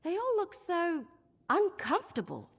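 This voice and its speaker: High-voiced, lively adventurer companion. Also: female voice, unhurried, heavily acted. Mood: friendly, brisk. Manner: purposeful, friendly, directing. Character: brisk, determined female adventurer companion. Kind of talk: video game voice acting.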